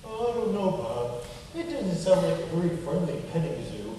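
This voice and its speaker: An echoey hall carries the mellow, high voice of an earnest puppet fellow played by a man. He is patient and kindly, explaining like a gentle teacher in a lively, swooping children's show delivery. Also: moderate pace. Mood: friendly. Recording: echoey hall from far off, faint noise.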